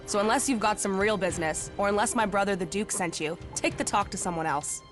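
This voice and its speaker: A woman's voice: medium pitch, fast, music in the background, close to an everyday voice. A woman playing a tough, hostile outlaw. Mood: dismissive. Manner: curt, contemptuous, foul-mouthed. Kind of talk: video game voice acting.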